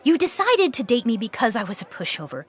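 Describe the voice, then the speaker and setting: A woman's voice: fairly high pitch, sing-song, fast talker. An actress playing an indignant, outspoken young woman who aspires to be a beastmaster, in a fantasy comedy audio drama. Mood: furious. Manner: exasperated, outspoken.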